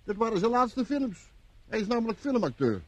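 Chatty gardener guy, folksy, rough-ish voice, radio drama. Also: sits high, very animated, a little fast. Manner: informal, garrulous. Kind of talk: Dutch radio play acting.

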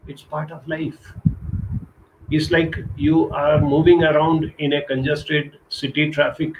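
A middle-aged man from India giving an earnest motivational talk over a webcam from a small curtained room, slowing down to stress key points. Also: a little high, muffled recording, a little hiss or hum. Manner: earnest, urging, slows down for emphasis. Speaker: counselor giving a live talk. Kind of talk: motivational live-stream talk. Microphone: webcam video call.

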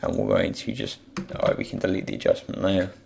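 A young man with a croaky voice, just woken up, thinking aloud with many pauses as he talks through a software tutorial. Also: sits low, sing-song, a little hiss or hum. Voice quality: croaky, gravelly. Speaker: tutorial creator. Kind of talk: screen-recorded software tutorial.

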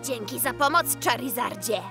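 A woman's voice: fairly high pitch, expressive, normal speed. A woman voicing a cheerful, caring young boy trainer in a fan comic dub, upbeat and reassuring. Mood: friendly. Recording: music underneath.

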